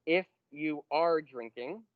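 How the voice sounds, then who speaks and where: A man teaching a university math class, explaining step by step and slowing down on key terms. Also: fairly high pitch, dry recording, phone-quality sound. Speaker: math lecturer. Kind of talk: classroom lecture.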